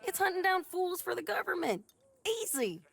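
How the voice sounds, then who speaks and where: An actress playing a tough, brash frontier bounty hunter woman with an American Western accent and gravelly voice, in a video game. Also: very high voice, big pitch swings, moderate pace, fairly bright tone, heavily acted. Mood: brash, persuasive.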